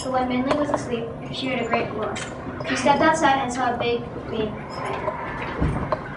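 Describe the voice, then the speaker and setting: A woman's voice: sits high, fairly even intonation, moderate pace. A female performer reading narration and lines in an amateur readers theatre show. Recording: very noisy echoey room, distant mic.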